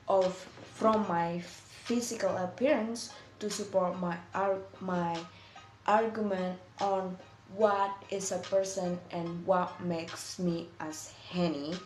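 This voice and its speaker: A woman from Indonesia, speaking English as an as she presents a school video, halting and searching for words in simple phrasing. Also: lowish voice, steady tone, darkish tone. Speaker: student. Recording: roomy echo with music behind.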